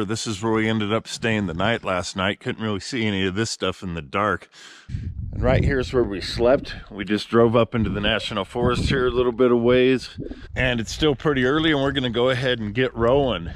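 A man's voice: medium pitch, brisk, noisy background. A middle-aged man from California with an American accent, chatting casually to camera as a travel vlogger. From California, United States. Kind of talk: casual outdoor travel vlog, talking to camera and puppy. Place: outdoors in woods and desert, sometimes in a vehicle. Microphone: handheld action camera at arm's length.

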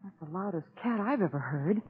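A woman's voice: mid-range voice, animated, brisk, close to an everyday voice. An actress playing a plucky, teasing young lady companion to a sleuth in a 1930s radio drama. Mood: nervous. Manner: bantering, spirited. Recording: tinny narrow-band 1930s radio recording.

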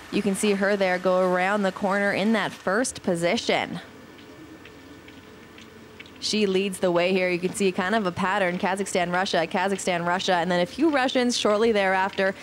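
A woman giving recap commentary as a voice-over on a cross-country ski race, in a brisk play-by-play style. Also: medium pitch, steady tone, slight background noise. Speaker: sports commentator.

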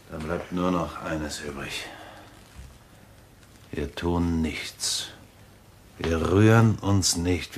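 An actor playing a grimly resolved, obsessed husband in a German thriller radio play, brooding and deliberate in manner, with a husky voice. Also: male voice, a bass voice, heavily acted.

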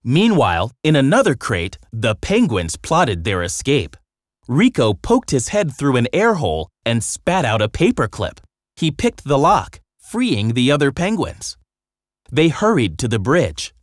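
Animated children's storybook narrator. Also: medium pitch, a little slow, no echo, studio quality.

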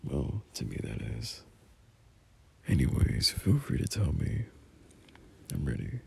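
A man playing a gentle, teasing young boyfriend in an intimate ASMR roleplay, speaking softly and breathily, often half-whispering in a hesitant, soothing way. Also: very deep voice, big pitch swings, slow, creaky, slightly rough, heavily acted. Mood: reassuring, coaxing. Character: gentle, teasing young boyfriend comforting his scared partner. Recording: faint background hiss.

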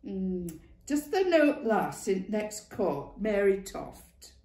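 Woman doing a worldly old matron: blunt, earthy, knowing, lively, heard in a roomy echo. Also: mid-range voice, slow. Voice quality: earthy dialect, hearty and knowing.

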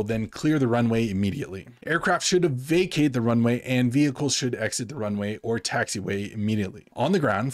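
A man's voice: mid-range voice, no echo. American man, dry close-miked instructional delivery. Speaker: flight instructor and presenter. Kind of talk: instructional explainer to camera. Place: small dim home office studio. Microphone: desk microphone close in front.

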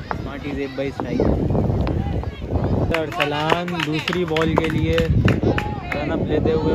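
Excited young cricket commentator. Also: male voice, a little high. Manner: excited, rising sharply on big shots. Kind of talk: live amateur cricket commentary. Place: outdoor sandy ground with a crowd. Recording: crowd noise around an outdoor mic.

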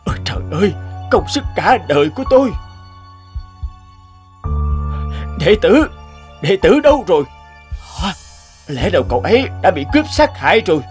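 A man's voice: high-pitched, very animated, quick pace. A man voicing a distraught old monk master in a cartoon, wailing and exclaiming theatrically. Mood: panicked, distraught. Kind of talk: cartoon voice acting. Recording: loud background music.

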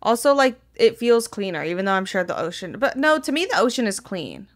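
A woman's voice: medium pitch, big pitch swings, brisk. A young woman co-hosting a comedic podcast, chatting casually with blunt, slangy candor. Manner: blunt, slangy, candid oversharing. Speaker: podcast co-host.

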